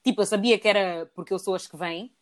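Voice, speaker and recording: A young woman speaks in an earnest, reflective way during a relaxed conversation, with a few hesitant starts. Her voice sits at a middle pitch. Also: fast. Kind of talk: casual chat.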